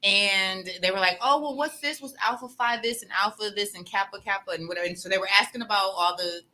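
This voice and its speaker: A woman and former teacher, guesting on a podcast, telling a story quickly and with animation. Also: medium pitch, bright, forceful tone. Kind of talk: casual storytelling.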